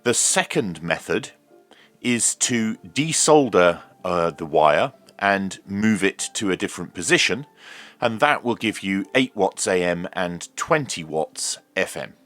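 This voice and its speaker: A man's voice: low voice, expressive, measured pace, a bit bright, soft background music. A man presenting an unscripted, hands-on tutorial, speaking in hesitant, piecemeal phrases with frequent ums. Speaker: presenter of radio review and repair videos.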